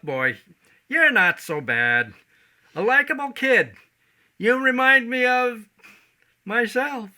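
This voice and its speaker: Man acting grouchy grown man, slow pace, animated pitch, blustering, proud, stage play. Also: exaggerated voice. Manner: gruff, blustering, patronizing, hesitant when challenged.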